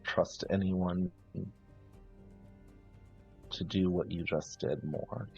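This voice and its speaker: Slow, low-voiced man narrating roleplay. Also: creaky, warm tone, lo-fi sound, faint music behind. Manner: halting, searching, thoughtful phrasing. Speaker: tabletop roleplaying player. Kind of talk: improvised in-character narration, live play.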